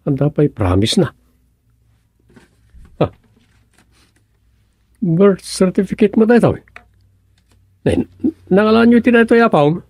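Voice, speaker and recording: A caring, exasperated young Ilocano fellow in a radio comedy serial. His voice sits fairly high and sounds close and dry, with an Ilocano accent. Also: sing-song, slow pace, long pauses, a little mellow, no room sound, exaggerated voice. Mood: surprised, probing. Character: exasperated, caring younger man tending a senile elder. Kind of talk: Ilocano radio drama acting.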